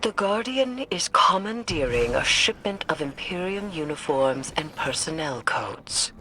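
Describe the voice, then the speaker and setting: An actress playing a solemn, intimidating female scholar of dark lore in a video game, formal, measured and cool. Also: deep voice, animated, full character voice. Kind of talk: video game cutscene acting.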